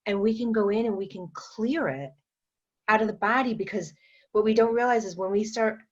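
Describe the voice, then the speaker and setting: A woman guest on a podcast interview, explaining her energy work in an unhurried way, with many fillers and restarts. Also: medium pitch, muffled recording. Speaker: guest, metaphysician and energy trainer.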